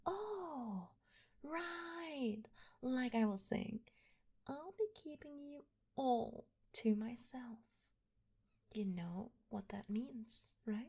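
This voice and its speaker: A woman playing an obsessive, lovestruck yandere kidnapper in an ASMR roleplay, doting and possessive, with a breathy voice that often drops into whispers. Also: a little high, full character voice. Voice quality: breathy, frequently dropping into whispers. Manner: soft, coaxing, slow, with hesitant pauses. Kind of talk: ASMR roleplay, intimate one-sided address to the listener.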